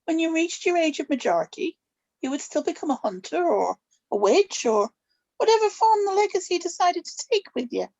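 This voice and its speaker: Woman playing a high-pitched stern witch grandmother. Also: sing-song, moderate pace, creaky, darkish tone, muffled recording, full character voice. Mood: guarded, explaining. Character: stern, evasive witch grandmother guarding family secrets. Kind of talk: table read of a TV pilot script.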